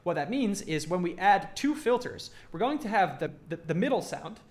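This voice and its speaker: A man's voice: high voice, very animated, normal speed, a little mellow. A man giving a casual tutorial as a music production instructor, informally thinking aloud as he demonstrates.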